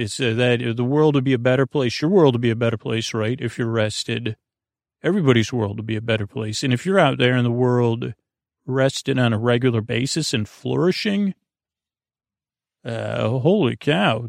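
A man hosting a bedtime podcast in a gravelly voice, rambling and halting, often trailing off mid-thought. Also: medium pitch, no room sound, studio quality. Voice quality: creaky, gravelly. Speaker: podcast host.

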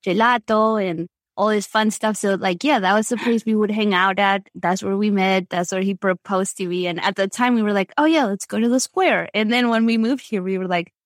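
Argentine woman, native Spanish speaker, light accent, rambling podcast guest, mid pitch, dry. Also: normal speed, few pauses, no echo. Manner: chatty, frequent fillers and restarts. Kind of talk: casual storytelling.

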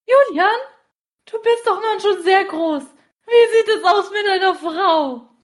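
A woman's voice: very high voice, slow pace. A woman voicing a nagging, meddlesome middle-aged aunt who talks in a pushy, mocking way with laughs while scolding, in an amateur audio drama. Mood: prying.

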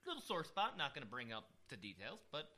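A man with a hoarse voice, co-hosting a podcast in casual, rambling, profane chat. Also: medium pitch, huge pitch swings, quick pace, sharp tone. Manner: rambling, profane, self-mocking. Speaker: podcast co-host.

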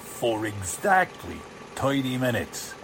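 An actor playing a cocksure, commanding crime boss in the style of 1940s radio drama. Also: male voice, medium pitch, very animated, slow. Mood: smug, commanding. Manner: smug, commanding. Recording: clear car engine noise.

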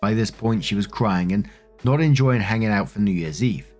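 A man narrating online stories in a steady, even reading voice. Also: lowish voice, normal speed. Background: faint music.

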